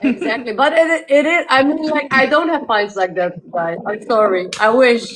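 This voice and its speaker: A woman's voice: a little high, noisy background. A woman from the Netherlands, a guest and metal detectorist, telling her story casually and laughing often, with many ums and likes. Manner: chatty, laughs often, fills pauses with uh and like. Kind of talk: casual interview chat.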